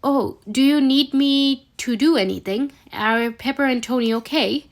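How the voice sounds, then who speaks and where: Kindly, slightly awkward aunt for a story reading, performed by a woman; slow, hesitant delivery. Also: high-pitched, voice pushed up. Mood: concerned.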